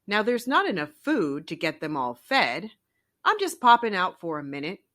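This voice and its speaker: A woman voicing a female animal friend in a children's story, a bossy but caring breakfast host, brisk and chiding. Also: on the low side, very animated, a bit bright.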